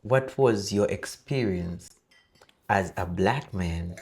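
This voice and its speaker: Male podcast host, lowish pitch. Casual, conversational questioning with frequent affirmations. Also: takes it slowly, darkish tone, a little hiss or hum. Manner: frequent affirming interjections, echoes the guest.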